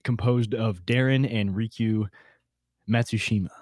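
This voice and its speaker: A man with a lowish voice hosts a casual podcast. His talk is informal and slangy, with a jokey, self-mocking streak, and the sound is close and dry. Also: steady tone, a little slow, a little mellow, no echo. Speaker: podcast host.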